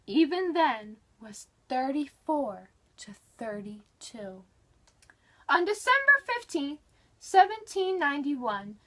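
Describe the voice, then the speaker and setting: Female narrator, high and very animated, for a dramatic history read-aloud with emphatic, deliberate phrasing. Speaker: reader of a nonfiction history book.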